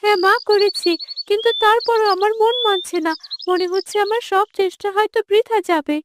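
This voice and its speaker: A woman's voice: very high-pitched, steady tone, a little fast, background noise, voice pushed up. A woman playing an earnest, repentant young woman in a cartoon, anxious and pleading.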